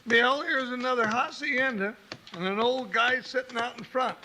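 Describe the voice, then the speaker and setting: A high raspy folksy sidekick. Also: male voice, moderate pace, pushed and bright, exaggerated voice, low-quality recording. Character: hefty folksy frontier sidekick, jolly and gabby. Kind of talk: 1950s radio drama acting. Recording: old radio with faint hiss.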